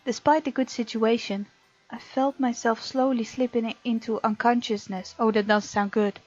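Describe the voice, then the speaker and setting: A woman's voice: a little high, steady tone, normal speed, darkish tone, highs cut off. A woman hosting a visual novel let's play, reading the game text aloud expressively and adding chatty reactions. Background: game music.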